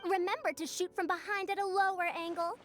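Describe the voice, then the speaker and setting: A proud senior scholar from a video game, prim and self-important in an extremely high, young-sounding voice. Faint music plays behind her pompous delivery. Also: fairly even intonation, brisk, a bit bright. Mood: smug.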